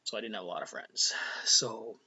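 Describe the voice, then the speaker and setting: A man's voice: lowish voice, fairly even intonation, normal speed, bright, forceful tone, husky, low-quality recording. A middle-aged Canadian man with a Canadian accent, rambling casually as host of his own vlog, often sliding into a near whisper. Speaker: vlogger host.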